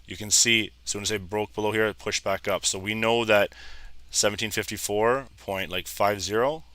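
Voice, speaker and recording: A man in his thirties, a little hoarse, casually talking viewers through live trading charts and calling them "guys". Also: fairly low pitch, steady tone, normal speed, pushed and bright, no echo. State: slightly hoarse, losing his voice. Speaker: trading educator. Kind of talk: live trading screen commentary.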